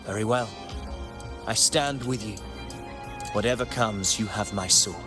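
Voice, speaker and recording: A man's voice: lowish voice, a little slow, lightly acted. Man playing a solemn, courtly warrior over music. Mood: steadfast. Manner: formal, solemn, archaic phrasing. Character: proud, courtly male warrior seeking to write his own destiny. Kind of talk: scripted video game voice acting. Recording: loud game music behind. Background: game music.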